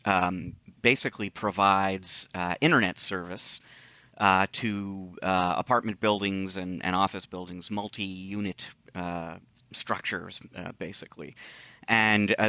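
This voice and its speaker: A man co-hosting a podcast, giving casual commentary in a measured, explanatory way. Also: on the low side, bright, forceful tone, no room sound. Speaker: podcast co-host, tech industry commentator.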